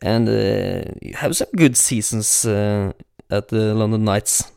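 Male interview host, hesitant with many uhs, clear smooth voice, dry close sound. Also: on the low side, steady tone, takes it slowly, darkish tone, dry recording. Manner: hesitant, many uhs, non-native phrasing. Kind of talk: casual interview questions.

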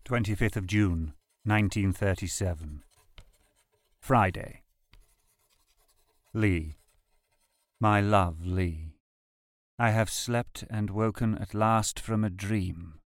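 A man reads old personal letters aloud in a deliberate, expressive and formal way. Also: lowish voice, no echo. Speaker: reader of historical letters. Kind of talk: dramatic reading of old love letters.

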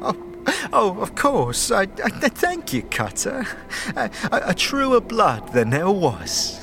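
A man playing a nervous, evasive city lowlife in a fantasy audio drama, stammering and rambling through his words. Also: wildly swooping pitch, slow, fairly bright tone, faint music behind, full character voice. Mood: flustered, ingratiating.

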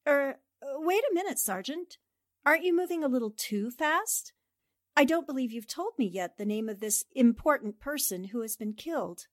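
An actress playing a polite, inquisitive newspaper reporter in the style of a 1940s radio drama. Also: female voice, high voice, expressive, moderate pace, dry recording, clean studio sound. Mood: curious. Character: quick-witted woman newspaper reporter.

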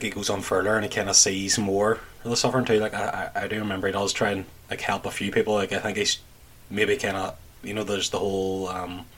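Low, husky-voiced man chatting tentatively. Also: a bit bright. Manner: tentative, hesitant phrasing. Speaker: podcast panellist. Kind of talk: casual book discussion.

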